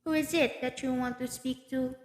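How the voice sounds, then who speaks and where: An unruffled hospital receptionist in a radio drama acted by a woman. She is formal, polite and officious, with a high-pitched voice. The amateur recording rings with echo and long reverb. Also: steady tone, normal speed, lightly acted. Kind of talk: amateur school radio play acting. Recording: echoey hall-like reverberant recording.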